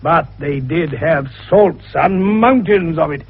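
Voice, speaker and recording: Shrewd, expansive older British amateur detective, 1950s radio drama; husky, gravelly, avuncular, on a muffled recording. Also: male voice, fairly high pitch, takes it slowly, exaggerated voice. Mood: explaining triumphantly. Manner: avuncular, folksy, expansive, teasing. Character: jovial, shrewd, wheezy older amateur detective doctor, male. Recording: dull vintage radio recording.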